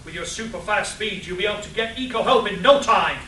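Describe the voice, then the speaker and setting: A man's voice: high voice, fairly quick, sharp tone. A man playing an earnest, preachy superhero in an amateur comedy radio play, declaiming theatrically. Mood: urgent. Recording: roomy, distant live convention recording with noise.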